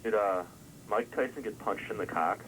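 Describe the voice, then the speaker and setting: Very deep-voiced male caller, hesitant deadpan. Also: normal speed. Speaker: prank caller. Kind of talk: casual phone call-in. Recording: faintly noisy distant phone line.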